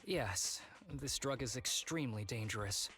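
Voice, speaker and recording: Man acting a playful young agent with animated delivery. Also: mid-range voice, normal speed, faint music behind, lightly acted. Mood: explaining. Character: smooth-talking, playful young male agent briefing his partners. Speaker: game character voice actor. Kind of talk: scripted video game voice acting.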